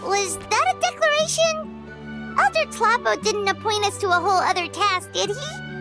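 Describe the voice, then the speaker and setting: A woman voicing a tiny, chatty, childlike fairy companion in a video game, squeaky, excitable and blunt. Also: normal speed, over music. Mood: curious, blunt.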